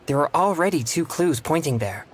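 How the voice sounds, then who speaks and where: A man voicing an earnest, polite teenage boy exorcist in a video game, with formal, measured phrasing. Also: on the high side, animated, slight background noise, natural voice. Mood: thoughtful, focused.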